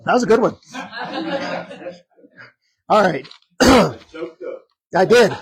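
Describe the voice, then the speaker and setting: A man running for sheriff, answering audience questions at a community meet and greet in an earnest, conversational way, hoarse and full of filler ums. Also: sits high, expressive, slow, rough recording. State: hoarse, losing his voice. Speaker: sheriff candidate.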